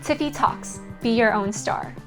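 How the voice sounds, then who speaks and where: A woman's voice: on the high side, unhurried. A woman reading a scripted promotional introduction for a talk show as its announcer. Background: intro music.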